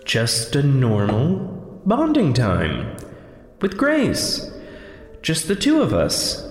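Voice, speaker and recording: A man's voice: medium pitch, huge pitch swings, heavily acted. An actor playing an anxious, sarcastic man's inner thoughts in an audio drama, with a reverberant effect. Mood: nervous, sardonic. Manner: sardonic, deliberate. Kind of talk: internal monologue in audio drama. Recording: long reverb, music underneath. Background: background music.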